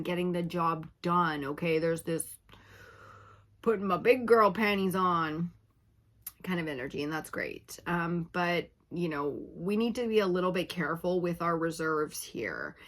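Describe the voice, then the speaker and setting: A woman reading tarot for her viewers in a casual, chatty way, her voice slightly raspy from hours of talking, often checking in with "okay?". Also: on the low side, fairly bright tone. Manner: chatty, laughing, uses filler like "okay?". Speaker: tarot reader.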